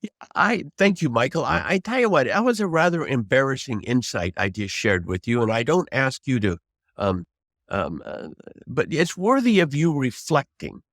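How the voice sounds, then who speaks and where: A man's voice: mid-range voice, very animated, normal speed, a bit bright, no echo, clean studio sound. A man in his seventies, a veteran trial lawyer, giving unscripted advice in an emphatic, reflective way and restating points for stress. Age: 70s.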